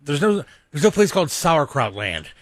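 A man's voice: on the high side, very animated, moderate pace, no echo. A man co-hosting a talk radio show, bantering casually in an insistent, joking, emphatic way. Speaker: radio co-host. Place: radio studio.

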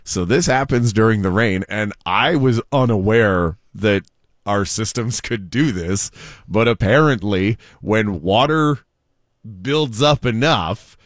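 A man's voice: lowish voice, slow, no room sound, clean studio sound. A man co-hosting a talk show, retelling offbeat news in a casual, jokey way. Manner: chatty, jokey storyteller. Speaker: talk show co-host telling news stories.

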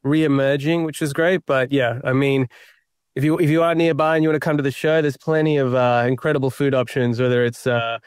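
Give me a smooth-voiced young Australian man. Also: medium pitch, level tone, brisk, no room sound, studio quality. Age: young adult. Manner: reflective and self-deprecating, many fillers and restarts. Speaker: playwright guest. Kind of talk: interview.

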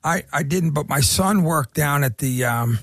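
A man co-hosting a casual radio chat, talking haltingly with lots of fillers and restarts. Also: on the high side, fairly bright tone, dry recording, clean studio sound. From Irish heritage. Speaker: radio co-host.

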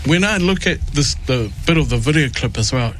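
Male radio host, fairly high voice, loose and rambling, greets guests warmly. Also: lively intonation, fairly quick, slight background noise. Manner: rambling, repeats words and restarts often. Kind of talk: warm casual interview with Pacific greetings.

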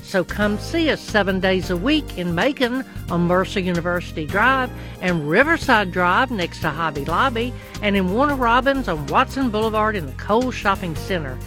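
A woman reads a radio store commercial at mid pitch, upbeat and salesy. Clear music plays behind her. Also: lively intonation, normal speed, few pauses. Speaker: commercial announcer. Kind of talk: radio ad read. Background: music bed.

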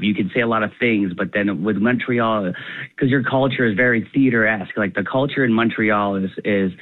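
A young adult man from Canada, a comedian guest chatting in a radio phone interview, animated and laughing, with many restarts. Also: medium pitch. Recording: tinny phone line.

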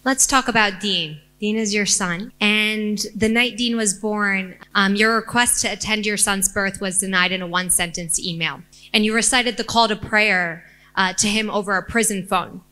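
A woman interviewer setting up and asking prepared questions in a measured way. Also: medium pitch, fairly even intonation, pushed and bright, rough recording. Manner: measured, reading set-up before asking.

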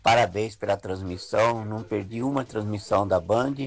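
A man's voice: on the low side, normal speed. A man with a São Paulo Brazilian accent, speaking as an effusive listener in a casual fan message, repeating phrases for emphasis. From greater São Paulo, Brazil. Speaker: listener sending a greeting.

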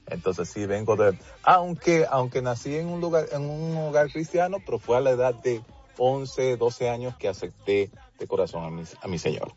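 A man's voice: on the low side, husky. Dominican man, lo-fi radio guest. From Dominican Republic. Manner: warm, frequent restarts and "así es". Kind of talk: casual phone interview. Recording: dull lo-fi radio audio, faint music.

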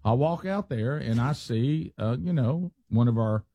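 A man, hosting a radio show and telling stories casually, animated, often repeating himself. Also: medium pitch, a little slow, no echo, dull audio. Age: 60s. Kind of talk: casual storytelling and banter.

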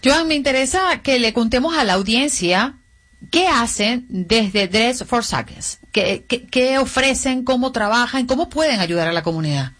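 High-voiced woman hosting an interview. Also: lively intonation, normal speed, fairly bright tone. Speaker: interviewer, host. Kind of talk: broadcast interview questions.

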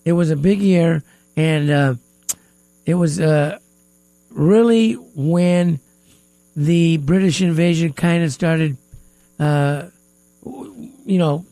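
Gravelly stuffy-nosed radio host man. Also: on the high side, warm tone, no echo, low-quality recording. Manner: hesitant, many filler pauses. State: stuffy nose. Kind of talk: casual talk radio chat. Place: radio studio.

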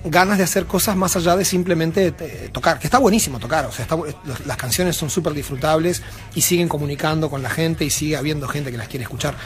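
A Uruguayan man with a Uruguayan Spanish accent, a band member chatting casually in an interview backstage, rambling with many fillers and restarts. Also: high-pitched. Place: backstage at a music venue.

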